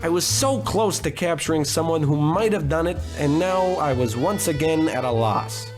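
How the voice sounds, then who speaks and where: Over music, an actor plays a cocky, hard-drinking male detective from an old radio noir spoof. His voice sits a little high for a man. He monologues with melodramatic self-importance and tosses off wisecracks. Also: normal speed, natural voice. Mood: grave, melodramatic. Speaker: narrator and lead actor.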